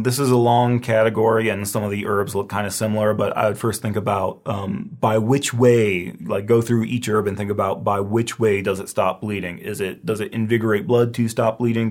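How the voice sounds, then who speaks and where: A man's voice: fairly low pitch, darkish tone. Scratchy-voiced man giving a loose lecture. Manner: loose and rambling, many fillers and restarts. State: losing his voice, scratchy. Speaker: herbal medicine instructor. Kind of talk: casual lecture review.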